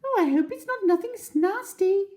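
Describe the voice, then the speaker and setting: A woman's voice: very high-pitched, animated, moderate pace, darkish tone, pitched above the performer's own voice. A woman voicing a sweet, chirpy, innocent storybook bird in a singsong voice. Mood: innocently curious.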